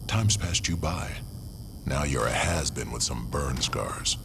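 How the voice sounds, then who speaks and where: A man's voice: rumbling low, measured pace, creaky, fairly bright tone, exaggerated voice. An actor playing a menacing, arrogant male biker gang boss in a video game, talking in a sneering, threatening way. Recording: game audio with background noise.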